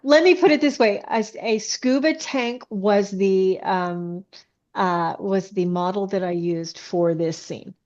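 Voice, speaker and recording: A woman's voice: medium pitch, slow pace, darkish tone. Woman writer chatting casually in an interview, lots of asides, kinda muffled lo-fi sound. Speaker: author being interviewed.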